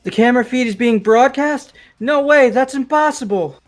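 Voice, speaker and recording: Game student role man: disbelieving teen, notably high voice, faint music bed. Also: moderate pace. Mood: incredulous. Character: incredulous teenage student. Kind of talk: fan-voiced video game acting.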